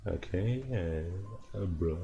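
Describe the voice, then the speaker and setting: A man streaming from home, hoarse and worn out from no sleep, chatting loosely and chuckling as he reacts to animations. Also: low-pitched, slow pace, dull audio, noisy background. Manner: laid-back, rambling, chuckles often. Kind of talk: casual livestream chat and reactions.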